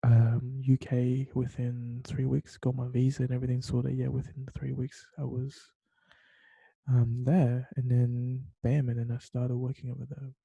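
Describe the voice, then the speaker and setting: Slow, dark-voiced man musing late at night. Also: medium pitch, completely flat delivery, gravelly, breathy edge, dry recording. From Taiwan. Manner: hesitant, many fillers and restarts. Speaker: podcast host. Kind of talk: solo late-night podcast monologue. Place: bedroom. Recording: dull lo-fi sound.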